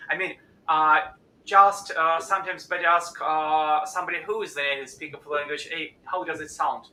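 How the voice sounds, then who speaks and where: Non-native male host, halting delivery, thin bright voice, echoey room mic. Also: a little high, steady tone. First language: not English. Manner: halting, many uh fillers. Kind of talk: live stream discussion. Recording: echoey room with faint noise.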